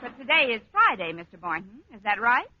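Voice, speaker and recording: A woman acting a sardonic, quick-witted schoolteacher in a 1940s radio comedy, with dry wisecracking delivery. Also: on the high side, very animated, heavy background noise. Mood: wry. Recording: dull vintage 1940s radio recording.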